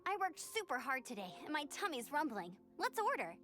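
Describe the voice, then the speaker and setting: A woman playing a perky, earnest teenage girl knight in a video game, energetic and forthright. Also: high voice, a little fast, soft background music, exaggerated voice. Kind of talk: scripted game dialogue.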